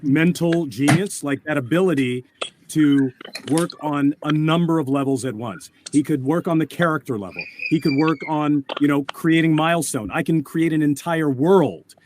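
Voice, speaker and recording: A man telling anecdotes casually in a thoughtful, halting manner. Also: on the high side. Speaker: voice actor guest. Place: remote video call. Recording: noisy video call audio with clicks.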